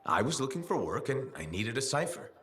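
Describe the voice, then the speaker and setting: A man's voice: mid-range voice, very animated, a little fast, crowd noise, natural voice. An actor playing a young male freelancer in a video game, talking with dry, sarcastic humor. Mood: wry. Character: wry male freelancer pilot, young adult. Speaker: freelancer, player character.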